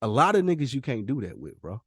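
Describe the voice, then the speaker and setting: American man, mid-pitched voice, casual podcast talk, emphatic and slangy, repetitive phrasing, dry close sound. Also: wildly swooping pitch, fast, husky, no echo. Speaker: podcast co-host.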